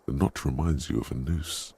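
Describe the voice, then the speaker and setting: A man playing a grave, brooding narrator of a detective game, reading second-person prose in a deliberate, deadpan way. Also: rumbling low, gravelly, a little hiss or hum.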